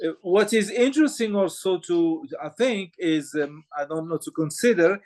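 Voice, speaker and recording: Guy from morocco asking questions, kinda high voice, lots of uh and restarts. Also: animated, normal speed, a little mellow. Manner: hesitant, many uh fillers and restarts. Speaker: interviewer. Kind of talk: halting interview questions.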